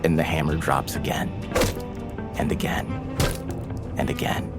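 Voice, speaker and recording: A man's voice: a bass voice, little pitch movement, unhurried, vocal fry, over loud music. A man dramatically narrating his character's actions as a player in a tabletop game.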